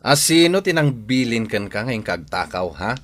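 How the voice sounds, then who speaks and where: A man's voice: medium pitch, very animated, moderate pace, lightly acted. A man acting a bullying, suspicious villager in a radio drama, taunting and confrontational. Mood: accusing. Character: bullying, suspicious male villager, quick to accuse.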